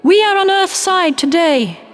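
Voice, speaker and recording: A man's voice: extremely high pitch, animated, measured pace, fairly bright tone, pitched above the performer's own voice, full character voice. A man voicing a blunt, solemn female alien leader giving a formal speech in a sci-fi audio story. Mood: solemn, resolute.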